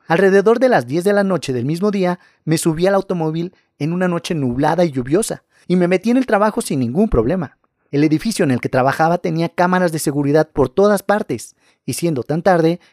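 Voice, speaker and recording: A man reading scary first-person stories aloud in a calm, measured narration. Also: on the high side, no echo, clean studio sound.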